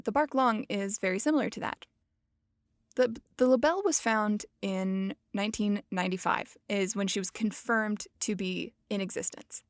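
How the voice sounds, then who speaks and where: A woman's voice: mid-range voice, no room sound, studio quality. A woman hosting a podcast, explaining in a casual, playful and self-mocking way. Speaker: podcast host. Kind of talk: casual educational podcast talk.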